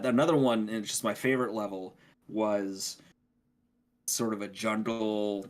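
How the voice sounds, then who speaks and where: A man talking casually as a podcast co-host, explaining things in a rambling way. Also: fairly low pitch. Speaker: podcast co-host reviewing video games.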